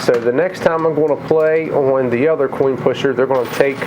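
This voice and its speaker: A man with a cold, a little raspy and tired, chatting casually through a coin pusher vlog in an arcade. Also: medium pitch, fairly quick, noisy background. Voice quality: slightly mumbled from a lozenge. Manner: chatty, excitable at wins. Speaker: vlogger.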